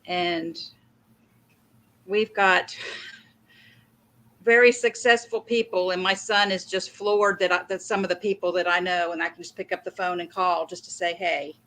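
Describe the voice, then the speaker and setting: Bright-voiced Southern American woman. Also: fairly low pitch, normal speed, long pauses. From northern United States. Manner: chatty storyteller, animated anecdotes. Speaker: livestream co-host. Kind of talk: casual chat on a video stream.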